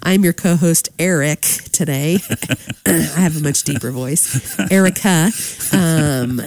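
A woman's voice: low voice, takes it slowly, darkish tone, no room sound. A woman co-hosting a radio talk show, chatting casually, laughing often and poking fun at herself while she has a cold, in a studio. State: congested with a cold. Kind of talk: casual on-air chat. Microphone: studio microphone.

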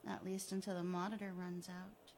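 A woman voicing a sadistic man who taunts his victim in a soft, mocking, drawn-out way. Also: lowish voice, little pitch movement, slight background noise, lightly acted. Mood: taunting. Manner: mocking, softly menacing, drawn out. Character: gloating sadistic male killer, taunting.

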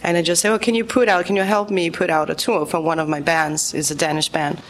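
A woman's voice: fairly low pitch, steady tone, quick pace, soft background music. Chatty Brazilian-born woman musician. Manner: chatty, full of fillers. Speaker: guest musician. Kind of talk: casual interview chat.

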